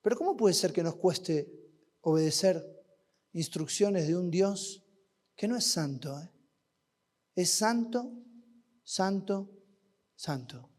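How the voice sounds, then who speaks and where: A man with an Argentine accent preaching a sermon at a youth camp, asking rhetorical questions and swinging between loud and hushed. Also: high voice, expressive, slow, pauses often. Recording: long hall reverb.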